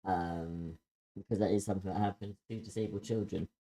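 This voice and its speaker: A man giving informal feedback in a group critique, speaking in a hesitant, halting way. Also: deep voice, steady tone, warm tone, slight background noise. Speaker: participant giving script feedback.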